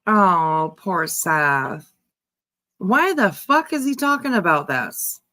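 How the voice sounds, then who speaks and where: A woman host giving casual reaction commentary, musing in a halting, repetitive, emphatic way. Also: lowish voice, sing-song, pushed and bright. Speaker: host giving commentary on a true-crime case.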